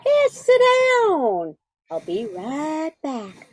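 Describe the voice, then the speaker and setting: A woman's voice: high voice, wildly swooping pitch, very slow, mellow, husky, heavily acted. A woman playing a timid, polite young Cajun granddaughter in a radio drama, speaking hesitantly with stammers. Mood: shyly hospitable. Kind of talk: amateur radio drama acting.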